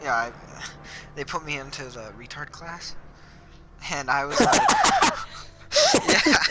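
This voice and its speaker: A young man chatting casually while gaming, telling stories in a halting, rambling, sweary way. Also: on the high side, rough recording. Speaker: player chatting in a multiplayer game. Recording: music behind the voice. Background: music.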